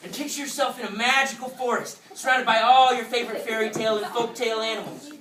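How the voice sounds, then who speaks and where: A woman hosting a live, interactive story for children in an animated, folksy, exclamatory way. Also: a little high, fast, very noisy. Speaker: puppet show host and storyteller. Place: indoor room with an audience. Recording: echoey room, distant mic, music.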